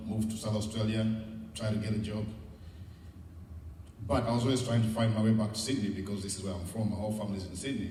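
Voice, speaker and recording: A middle-aged man with a Ugandan accent speaks in a low, mellow voice, heard from a distance in a reverberant hall. He gives an informal, encouraging talk as a guest speaker, candid and conversational. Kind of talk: informal motivational public talk. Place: large indoor event room. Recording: echoey hall, distant mic, noisy.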